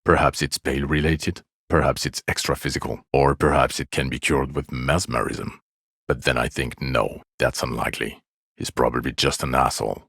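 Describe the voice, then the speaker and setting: A man's voice: extremely low pitch, steady tone, normal speed, a bit bright, breathy edge, dry recording, full character voice. A man voicing a bitter, exasperated police detective in a video game, with dry, cutting sarcasm and weary contempt. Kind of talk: dramatic game dialogue.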